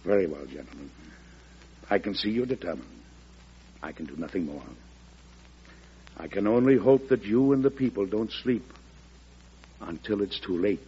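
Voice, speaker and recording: An actor playing a grave, forceful American president in an old radio drama, speaking in a solemn, oratorical way. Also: male voice, on the low side, moderate pace, pauses often, a little hiss or hum, lightly acted. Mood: resigned. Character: grave, impassioned American president pressing for war. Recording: muffled old radio recording.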